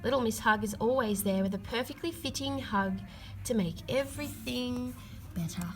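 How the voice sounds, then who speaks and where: A woman reading a children's picture book aloud, with expressive emphasis and drawn-out key words. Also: fairly high pitch, music in the background, rough recording. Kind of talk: read-aloud storytelling for children.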